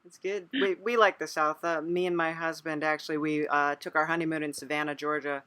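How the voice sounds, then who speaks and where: Woman host, dry close recording, slightly low pitch, casual interviewing, encouraging. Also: flat delivery, brisk, a bit bright, smooth, dry recording. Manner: encouraging, agreeable.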